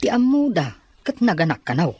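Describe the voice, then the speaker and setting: A woman's voice: on the low side, very animated, moderate pace, a little mellow, husky, no room sound. A woman playing a deferential, concerned aide in a radio drama. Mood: respectful, concerned.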